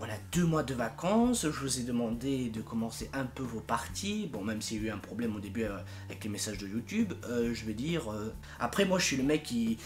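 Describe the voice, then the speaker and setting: A young man talks informally to the members of his project over background music. His delivery is very lively and emphatic, exaggerated for comic effect, with an exasperated edge. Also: medium pitch, fairly quick, hardly any pauses. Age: young adult. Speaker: fandub project leader and video maker. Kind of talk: casual informal vlog announcement.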